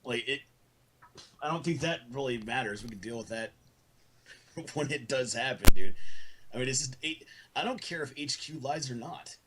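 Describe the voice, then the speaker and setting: A man's voice: mid-range voice, normal speed, pauses often, background noise. A man speaking bluntly, with plenty of swearing, in an argumentative casual group conversation. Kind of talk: heated group voice call argument.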